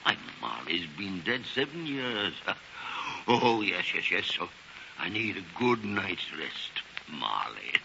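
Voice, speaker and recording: Grumpy bitter old miser, sharp snapping voice, old 40s radio drama, muffled and hissy. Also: male voice, low voice, big pitch swings, takes it slowly, gravelly, exaggerated voice. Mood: uneasy, muttering. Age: older. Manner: grumbling, blustering, snapping. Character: bitter old male miser, irascible and scornful. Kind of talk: 1940s radio acting.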